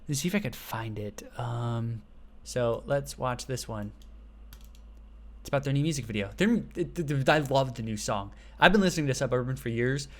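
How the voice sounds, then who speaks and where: A man hosting a casual reaction video, chatty and excitable, often breaking into laughs. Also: medium pitch, moderate pace.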